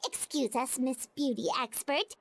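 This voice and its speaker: Excitable, squeaky little fairy companion. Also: female voice, unhurried, very noisy. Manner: exclamatory, dramatic. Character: small childlike floating fairy companion, excitable and greedy. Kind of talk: video game voice acting.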